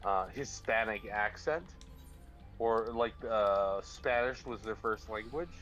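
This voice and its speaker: A man's voice: on the low side, takes it slowly, a bit bright, highs cut off, faint music behind. A man from the southern United States with an American accent, rambling in casual chat with many fillers.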